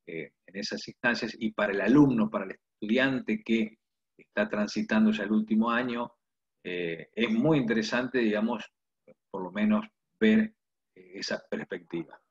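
Man, husky, low voice, lecturer ending a talk, dull lo-fi call audio. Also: normal speed. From Argentina. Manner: loose, filler-heavy wrap-up. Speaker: university lecturer. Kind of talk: wrapping up a presentation.

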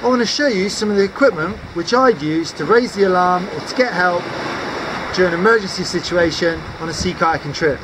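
A man's voice: sits high, a little fast, noisy background. A man in his thirties from the UK, giving a practical, upbeat demonstration of safety gear to a camera outdoors on coastal rocks. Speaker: sea kayaking instructor.

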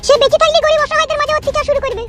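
A woman's voice: very high-pitched, steady tone, rapid-fire, fairly bright tone, faint music behind. A woman voicing a scheming, aggrieved village character in a comedy cartoon, emphatic and complaining. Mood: indignant.

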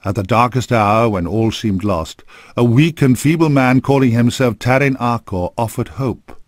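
A man's voice: mid-range voice, normal speed, dry recording. A man narrating a fantasy game from a script in a solemn, formal storytelling manner, close on a studio microphone.

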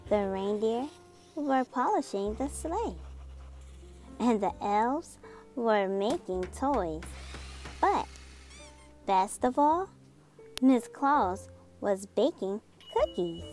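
A woman's voice: on the high side, darkish tone. A woman reading a children's picture book aloud expressively. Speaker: children's book reader, channel host. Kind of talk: expressive storybook read-aloud. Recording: music behind the voice.

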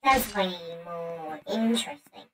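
Man doing a female-sounding gamer, voice changer, lively swooping pitch, slow hesitant rambling, playful, roomy sound. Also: a little hiss or hum. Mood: casual. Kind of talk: casual gameplay commentary.

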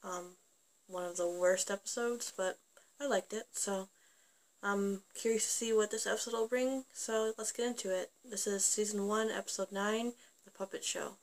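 A woman's voice: medium pitch, steady tone, moderate pace, rough recording. A young adult woman giving casual reaction commentary as a video host, recorded in a room at home. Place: small room at home, indoors.

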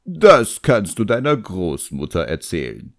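A man's voice: sits low, huge pitch swings, a little slow, heavily acted. A man voicing a cocky ancient djinn in an audiobook, sly and sarcastic, with a smug drawl. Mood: defiant.

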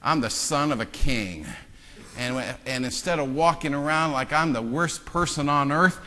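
A male pastor with a bright, forceful, fairly high voice preaches in a church sanctuary, his words ringing in long reverb. He drops to hushed, self-mocking asides to the congregation, then surges into loud, fervent calls. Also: moderate pace. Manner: self-deprecating joking with the congregation. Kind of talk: sermon.

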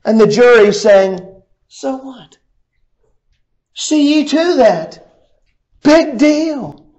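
A young man preaching a sermon at a church pulpit, swinging from loud, raised lines to low, quiet ones, picked up by a distant camera. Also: lively intonation, very slow, lo-fi sound.

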